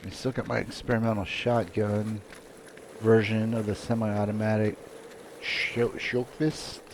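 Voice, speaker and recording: A man casually commenting on his own gameplay, muttering relaxed asides as he plays. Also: fairly low pitch, a little slow, background noise. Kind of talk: casual let's-play commentary.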